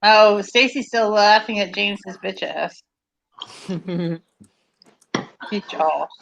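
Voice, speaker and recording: A woman's voice: medium pitch, takes it slowly, creaky, fairly bright tone. A woman chatting casually and teasingly, telling stories at length. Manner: teasing, talkative storyteller. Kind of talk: casual chat. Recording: dull lo-fi sound.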